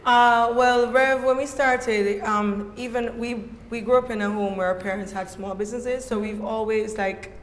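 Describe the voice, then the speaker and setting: A woman's voice: fairly high pitch, steady tone, normal speed, few pauses, slight background noise. A Jamaican woman telling her story as a podcast guest, chatty and full of fillers, slipping into patois at times. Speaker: guest, brand co-founder. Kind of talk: casual podcast interview. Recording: echoey hall with long reverb.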